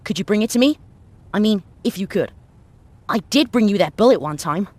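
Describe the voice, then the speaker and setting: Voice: mid-range voice, animated, fairly quick, no echo, close to an everyday voice. A performer playing an earnest child survivor in a game, self-important and pleading. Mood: earnest, secretive. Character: earnest, secretive child survivor asking a favor.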